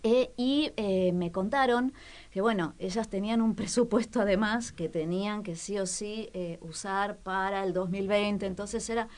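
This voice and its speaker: A woman hosting a casual radio chat, conversational and a little halting, often putting questions to the listener. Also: medium pitch. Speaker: radio show host.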